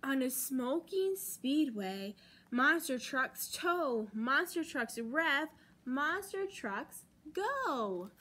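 A young American woman reading a picture book aloud to children as a storytime host, with deliberate, dramatic emphasis on key words. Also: sits high, animated, a bit bright. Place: library children's room, indoors.

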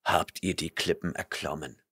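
A man's voice: rumbling low, steady tone, normal speed, sharp tone, slightly rough, pitched below the performer's own voice, exaggerated voice. A man voicing a burly barbarian warrior who growls and mutters his questions, impatient and menacing, in an audiobook reading. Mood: gruff.

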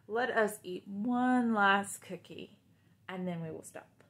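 Woman doing an excited little male toad from a kids' book, loud and eager. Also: mid-range voice, takes it slowly.

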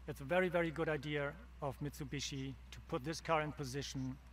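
Higher-pitched German man, faint outdoor noise. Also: fairly even intonation, fairly quick. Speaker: invited event guest. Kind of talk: on-camera interview statement. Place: open farm field outdoors.

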